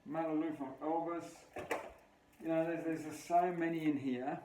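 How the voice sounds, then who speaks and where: A man's voice: fairly high pitch, fairly even intonation, moderate pace. An Australian man in his fifties hosting a casual show-and-tell vlog, talking in an enthusiastic, breezy, rambling way. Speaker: video host, memorabilia collector. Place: small memorabilia room at home, indoors. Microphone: camera a few steps away. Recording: roomy, distant camera mic with faint hiss.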